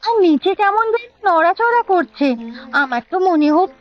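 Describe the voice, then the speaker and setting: A woman's voice: extremely high pitch, animated, moderate pace, no echo, muffled recording. A woman voicing a caring village wife in a Bangla cartoon, coaxing and matter-of-fact. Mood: observant.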